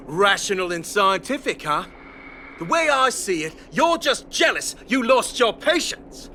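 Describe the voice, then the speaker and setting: An actress playing a bitter, distrustful patient in a video game, sarcastic and confrontational. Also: female voice, medium pitch, very animated, measured pace, bright, forceful tone. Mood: scornful. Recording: clear ambient noise behind.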